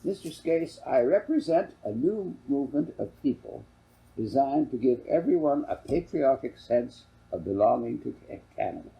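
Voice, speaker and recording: A man's voice: mid-range voice, animated, normal speed, creaky, dark tone, pitched above the performer's own voice, rough recording. A man voicing a slick, ingratiating land salesman who blusters and stammers in a storybook reading. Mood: smooth pitching. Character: slick, flustered salesman pushing a land scheme.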